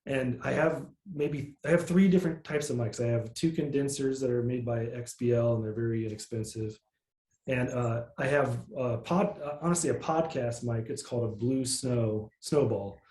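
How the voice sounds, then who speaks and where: A man's voice: mid-range voice, normal speed, a little mellow, reverberant. Nasal-voiced man, easygoing interview guest. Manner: easygoing, rambling with fillers. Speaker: musician guest. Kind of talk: casual interview chat.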